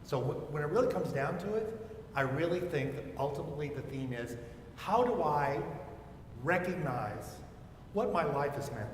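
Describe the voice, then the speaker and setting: Older man, panelist, reflective talk, echoey space, faint rumble behind. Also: animated, normal speed. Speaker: panelist, psychotherapist and former chaplain. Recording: echoey hall, rumbling background noise.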